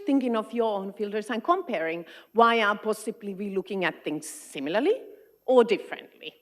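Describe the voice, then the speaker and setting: A woman's voice: medium pitch, a bit bright. A woman with a Finnish accent giving a friendly, engaging talk to a large conference audience as an education consultant. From Finland. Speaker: keynote speaker, education consultant.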